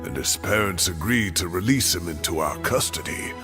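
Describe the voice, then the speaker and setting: An actor playing a weary, secretive old scholar in a video game, speaking in a grave, measured and dramatic way. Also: male voice, sits low, lively intonation, over music. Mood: solemn, confessional. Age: older. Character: weary secretive old male scholar, guilt-laden mentor.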